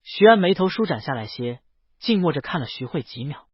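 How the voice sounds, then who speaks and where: A man narrates a scripted story. His voice sits a little high and moves through lively, varied intonation, with a husky edge. The recording is close and dry, slightly muffled. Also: fairly quick, no room sound. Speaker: audiobook narrator.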